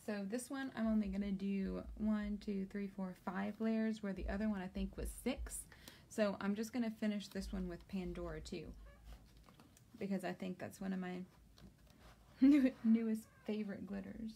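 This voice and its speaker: A woman's voice: medium pitch, moderate pace, gravelly, mellow. A young woman chatting casually while she crafts, answering viewers and laughing often. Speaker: crafter doing a tumbler tutorial. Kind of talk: casual live-stream chat while crafting. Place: home craft office.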